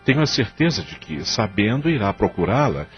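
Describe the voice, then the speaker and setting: Actor as a guilty, pleading, very expressive Brazilian husband. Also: male voice, on the low side, brisk. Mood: evasive, defensive. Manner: pleading, formal diction. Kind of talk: melodramatic audio drama acting. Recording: dull lo-fi radio-like audio with music.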